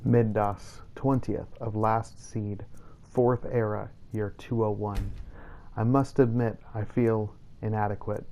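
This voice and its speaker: Male narrator, gravelly and dark-toned, solemn journal reading for a fantasy game playthrough. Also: lowish voice, level tone, slow pace, a little hiss or hum. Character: weary, self-doubting Redguard vampire hunter reading his journal. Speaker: host of a role-play game playthrough. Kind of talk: scripted in-character journal narration.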